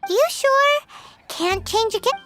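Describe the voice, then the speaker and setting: A woman voicing a tiny, excitable, childlike fairy companion in an anime-style video game, exclaiming in a cartoonish manner. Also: very high-pitched, slow, loud music behind the voice, exaggerated voice. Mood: doubtful. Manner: speaks of self in third person, exclamatory.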